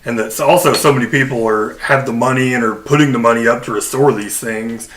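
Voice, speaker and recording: A man's voice: medium pitch, a little fast, a bit bright, slight background noise, poor audio. A man co-hosting a podcast, chatting casually about films in a blunt, informal way. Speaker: podcast co-host. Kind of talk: casual film chat.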